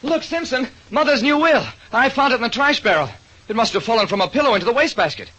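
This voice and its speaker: A man's voice: very high voice, expressive, quick pace, pushed and bright, pitched above the performer's own voice, exaggerated voice, poor audio. A man voicing a disgruntled young heir of a wealthy family in a 1940s radio drama. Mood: agitated.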